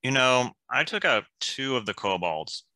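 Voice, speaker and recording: An actor playing a playful, joking nineteen-year-old goliath ranger in an animated fantasy table read. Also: male voice, mid-range voice, unhurried, no echo, exaggerated voice, poor audio.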